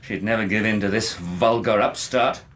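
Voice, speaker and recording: Man acting a sneering, sharp-edged young English gentleman villain. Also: fairly low pitch, fairly even intonation, moderate pace, close to an everyday voice. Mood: bitter. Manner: sneering, haughty, explosive. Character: arrogant, vicious young English gentleman criminal, contemptuous son. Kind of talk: radio drama acting.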